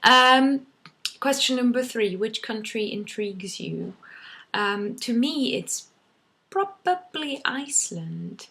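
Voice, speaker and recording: A woman's voice: on the high side, lively intonation, takes it slowly, gravelly, pushed and bright. A young woman whose first language is German, talking casually to camera in a rambling way, full of ums and restarts. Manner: chatty, many ums and restarts. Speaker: vlogger.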